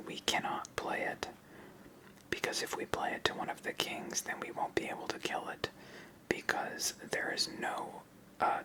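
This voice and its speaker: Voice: sharp tone, low-quality recording. Whispering ASMR card game host. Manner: methodical, explains moves step by step.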